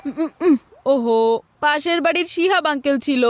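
A woman's voice: high-pitched, normal speed, soft background music, close to an everyday voice. A woman voicing a cheeky, taunting small boy, the clever little brother in a cartoon dub. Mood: gleeful taunting. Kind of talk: cartoon dubbing.

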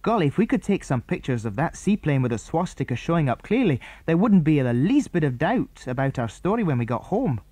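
A man's voice: on the high side, very animated, a little fast, hardly any pauses, a little mellow, husky, no echo, voice pushed up. A man reading aloud and voicing a brave, thoughtful young boy who leads the others, in an eager, earnest way.

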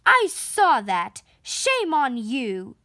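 A woman's voice: high voice, wildly swooping pitch, drawn-out words, bright, forceful tone, heavily acted. A woman voicing a stern, formal female elephant schoolteacher in a storybook. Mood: scolding.

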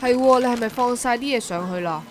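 Brash young woman played by an actress, Hong Kong Cantonese, radio drama; playful, exclamatory, slightly high-pitched. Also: fast, slight background noise, close to an everyday voice. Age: young adult. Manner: cheeky, exclamatory.

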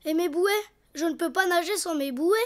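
Small cartoon donkey boy, very high-pitched, childlike, imaginative, proud of himself. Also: normal speed. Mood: cheerful, busy. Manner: chirpy, childlike, boastful. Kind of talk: French children's cartoon acting. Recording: faint music behind.

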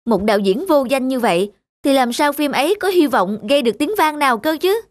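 A woman voicing a vain, ambitious young woman student actress in an animated series, talking in a boastful, dismissive way. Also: high voice, brisk, no room sound, clean studio sound. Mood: scornful. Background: background music.

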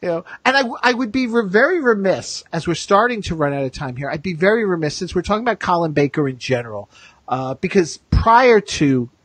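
Man, show host, slightly high voice, husky, casual chat, roundabout with asides, dry sound. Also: sing-song, fairly quick, a bit bright, dry recording. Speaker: host of a TV fan discussion show.

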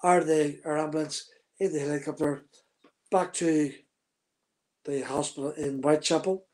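A husky-voiced man in his fifties tells his story in close detail, gesturing as he goes. His voice sits a little high for a man. He is speaking into a webcam on a video call from a room at home. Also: steady tone, normal speed, darkish tone. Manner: vivid, detailed storyteller, talks with his hands. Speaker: guest, former racing driver.

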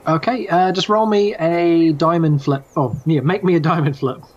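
A man with a New Zealand accent, running a tabletop game in a casual, halting, self-deprecating way. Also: fairly high pitch, a little mellow, slight background noise. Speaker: game master. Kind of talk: casual game recap and narration. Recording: slightly muffled, music behind. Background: background music.

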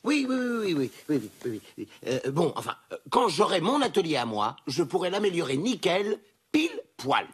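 An eager, eccentric inventor uncle in a cartoon. The voice is markedly raspy and leaps around in pitch, with an excitable showman's delivery. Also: moderate pace, exaggerated voice. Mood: flustered. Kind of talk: animated children's cartoon acting.

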